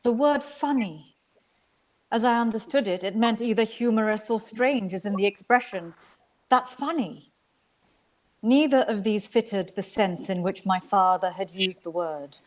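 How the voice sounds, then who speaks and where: A woman from Sri Lanka reading literary fiction aloud as an actor, with measured, expressive storytelling. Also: medium pitch, rough recording. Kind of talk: dramatic literary narration.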